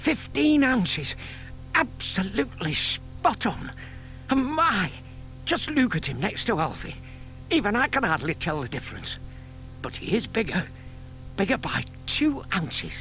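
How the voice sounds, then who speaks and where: An actor playing a gentle, fond older man in an audio play, murmuring to himself and to animals. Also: high-pitched, sing-song, a little slow, soft background music, exaggerated voice, poor audio. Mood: delighted. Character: gentle, fond old neighbour man scheming kindly with tortoises. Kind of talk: audio theatre dramatisation.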